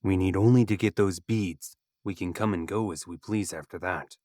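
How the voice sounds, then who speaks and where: Male actor as scheming fantasy bandit: low, measured, matter-of-fact, dry sound. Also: fairly even intonation, mellow, no room sound, close to an everyday voice. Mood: cool, scheming. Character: calculating male bandit archer, scheming and pragmatic. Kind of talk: audio drama acting.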